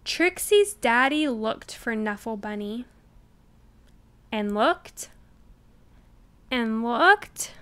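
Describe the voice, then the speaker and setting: A woman with a high, bright, very clear voice reads a children's story. She narrates extremely slowly and expressively, shaping each phrase with care. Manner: deliberate, expressive enunciation. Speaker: storybook reader. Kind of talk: children's read-aloud narration.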